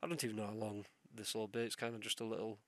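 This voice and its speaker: A man's voice: fairly low pitch, level tone, racing, a bit bright, dry recording. Sick young man rambling, sweary. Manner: rambling, sweary, loses train of thought. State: sick, sore throat, sniffly. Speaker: podcast host. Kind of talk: solo casual podcast ramble.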